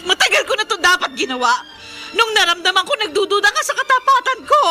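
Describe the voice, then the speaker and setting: A woman's voice: extremely high pitch, expressive, fast, music in the background. A woman playing a hurt, defiant young wife, plaintive and emotional, in a Filipino radio drama. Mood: tearful, angry.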